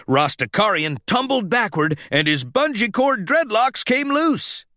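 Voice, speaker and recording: Male storybook narrator for children, higher-pitched, very expressive, unhurried, close dry sound. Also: dry recording. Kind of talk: animated scripted read-aloud narration for children.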